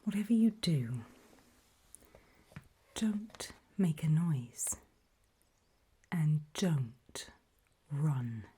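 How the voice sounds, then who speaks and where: Breathy, slow whispering woman. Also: sits low, very animated. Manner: slow, deliberate, hushed, pausing between short phrases. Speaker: ASMR roleplay host. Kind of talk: whispered ASMR roleplay narration, guiding the listener.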